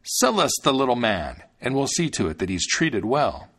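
A man's voice: medium pitch, big pitch swings, normal speed, fairly bright tone. A man voicing a greedy, scheming stranger in a storybook tale, conspiratorial and persuasive. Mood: scheming, coaxing. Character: scheming male stranger, greedy, coaxing opportunist.

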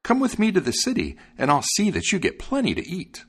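A man's voice: high-pitched, wildly swooping pitch, brisk, fairly bright tone, breathy edge, pitched above the performer's own voice, heavily acted. A man voicing a small, bold, loyal and vengeful sparrow in a storybook tale, speaking emphatically. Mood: helpful, eager. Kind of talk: storybook character voice.

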